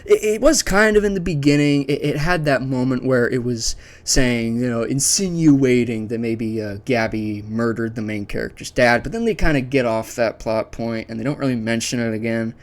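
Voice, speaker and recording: A man's voice: medium pitch, moderate pace, few pauses. A man hosting a ranking video in a casual, unscripted way, thinking aloud conversationally and sharing his opinions. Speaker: video host ranking a series list.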